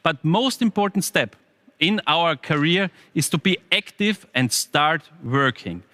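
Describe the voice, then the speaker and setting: A man's voice: a little high, lively intonation, measured pace, bright, forceful tone, no echo. A man hosting a company's online event, presenting in with frequent hesitations. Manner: scripted, with frequent uh fillers. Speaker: event host.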